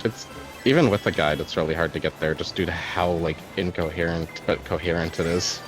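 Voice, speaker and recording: A man streaming a kart racing game, chatting casually, rambling about game lore and swearing when excited. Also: sits low, brisk, music in the background, low-quality recording. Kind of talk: casual gaming chat.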